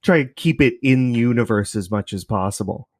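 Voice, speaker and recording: A man's voice: mid-range voice, moderate pace, darkish tone, no echo, studio quality. A man chatting as an interview guest, explaining his creative work in a loose, thinking-aloud way. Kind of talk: casual interview chat, explaining.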